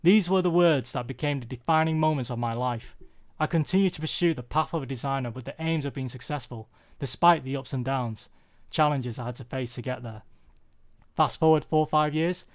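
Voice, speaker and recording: A young British man giving a prepared personal talk, earnest and confessional, with deliberate phrasing. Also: mid-range voice. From UK, born there.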